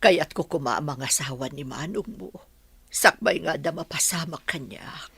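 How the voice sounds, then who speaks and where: A woman's voice: low-pitched, expressive, moderate pace, gravelly, a bit bright, breathy edge, exaggerated voice. A woman playing an ailing, fretful mother of grown sons in a radio drama, plaintive and motherly, with a Filipino accent. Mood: worried. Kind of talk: Ilocano radio drama acting.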